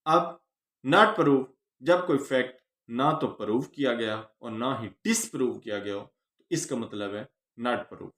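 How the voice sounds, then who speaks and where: A man with a Pakistani accent giving an explanatory law lecture, teaching phrase by phrase with pauses. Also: medium pitch, a bit bright. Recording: echoey room.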